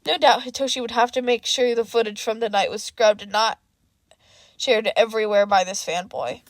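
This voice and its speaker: A woman's voice: fairly high pitch, normal speed, dry recording, clean studio sound. A woman reading a scripted story aloud as an amateur-style narrator, now and then correcting herself. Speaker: audiobook narrator of fan fiction.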